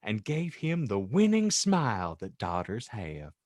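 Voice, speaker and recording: Male narrator, theatrical comedy read, unhurried pace, wide swings in pitch. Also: huge pitch swings, darkish tone, dry recording, clean studio sound. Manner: mock-earnest, theatrical. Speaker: podcast host and narrator. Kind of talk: comic dramatic audiobook narration.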